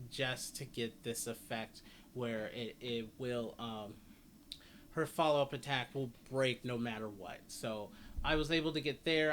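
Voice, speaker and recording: A man's voice: medium pitch, a bit bright, slight background noise. A man giving casual game guide commentary, rambling as he repeats and corrects himself. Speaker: gaming guide host.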